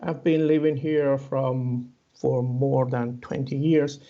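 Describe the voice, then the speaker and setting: A man from Cuba with a Cuban Spanish accent, answering interview questions haltingly with many fillers and restarts; Spanish is his first language. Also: mid-range voice, flat delivery, mellow. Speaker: interview guest. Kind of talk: hesitant conversational interview answers. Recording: dull lo-fi audio.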